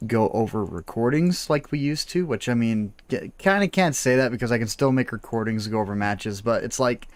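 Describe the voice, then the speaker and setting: A man's voice: mid-range voice, moderate pace, hardly any pauses, no echo. Young man in his twenties, game stream host, chatty, laughs a lot, dry close sound. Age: early 20s. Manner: chatty, laughs often, many fillers. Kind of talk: casual livestream chat while gaming.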